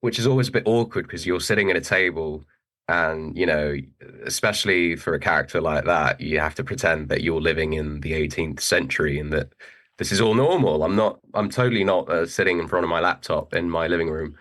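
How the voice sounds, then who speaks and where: Very low-voiced young man, British-American accent. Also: brisk. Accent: British with a hint of American. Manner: relaxed, hesitant, laughs easily. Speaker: actor guest. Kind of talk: casual interview chat.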